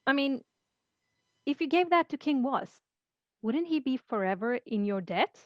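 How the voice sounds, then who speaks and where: A bossy, questioning pirate first mate, voiced by a woman at a table read. The pitch is high and the recording is dry and somewhat muffled. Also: lively intonation, a little slow, no echo. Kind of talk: table read of a fantasy comedy script. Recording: dull, lo-fi microphone.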